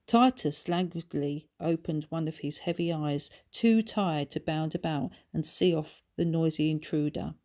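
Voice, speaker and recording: A woman reading her own children's book aloud as narrator, in a measured, descriptive storytelling manner. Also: low voice, a little mellow.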